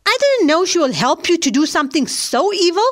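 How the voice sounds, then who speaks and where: A scolding, exasperated mother played by a woman in a radio drama. Her voice is high and swings widely in pitch as she lectures. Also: very animated, a little fast, a bit bright, full character voice. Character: stern scolding middle-aged mother. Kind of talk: audio drama acting.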